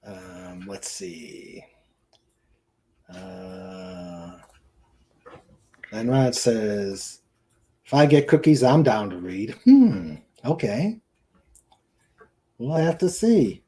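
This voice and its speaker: A man hosts a relaxed live chat in a soft-edged, mellow voice. He speaks slowly and hesitantly, rambling and repeating himself as he reads out comments from viewers. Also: lowish voice. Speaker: show host. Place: at home in a room with a couch.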